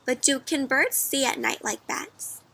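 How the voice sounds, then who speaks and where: A woman reading a picture book aloud to children, expressively, pausing to ask the listeners questions. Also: high-pitched, huge pitch swings, sharp tone, husky, rough recording. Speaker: read-aloud storyteller for children.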